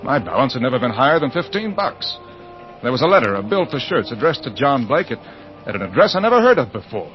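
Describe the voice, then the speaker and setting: A man's voice: mid-range voice, big pitch swings, fast, lightly acted. Man acting a bewildered, brooding young American amnesiac, suspense radio narrator, lo-fi vintage sound, music underneath. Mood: brooding, uneasy. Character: bewildered, anxious amnesiac young male mystery writer. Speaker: lead actor and narrator. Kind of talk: old-time radio drama acting, first-person suspense narration. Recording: dull old radio recording, music behind.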